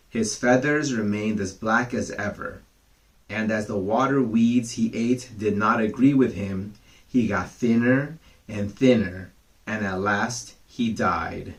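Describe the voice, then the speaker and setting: A man reading bedtime fables aloud for children, gentle and chatty, with casual asides to his young listeners. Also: medium pitch. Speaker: storyteller.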